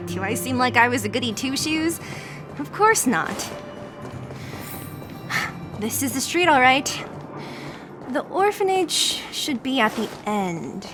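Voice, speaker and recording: A woman's voice: sits high, sing-song, slow, long pauses, exaggerated voice. A woman voicing a brash, guarded young woman from a slum town in a video game, with a blunt, sardonic delivery. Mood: teasing. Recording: music under the voice.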